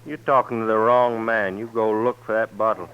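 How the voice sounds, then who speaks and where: An actor playing a cold, threatening gunslinger in an old-time radio western, with a tough folksy drawl. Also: male voice, fairly low pitch, fairly even intonation, normal speed, lightly acted. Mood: menacing. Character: cold, menacing gunslinger drifting into a frontier town. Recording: dull vintage radio recording.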